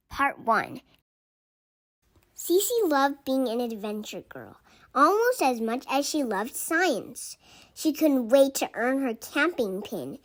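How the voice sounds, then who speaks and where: A woman reading a children's picture book aloud in an expressive way, acting out the dialogue. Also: sits high. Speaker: storybook reader for children.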